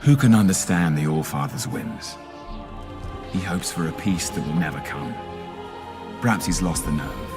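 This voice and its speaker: Man as a very deep-voiced scheming trickster god. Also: natural voice. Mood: scheming, insinuating. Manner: measured, insinuating. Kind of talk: dramatic video game acting. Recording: music under the voice.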